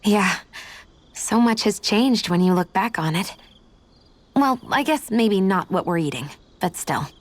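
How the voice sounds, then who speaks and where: A woman playing a composed, quietly affectionate young adventurer in a video game, with a gently teasing manner. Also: medium pitch, animated, unhurried. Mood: warm, wistful. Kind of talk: video game cutscene acting.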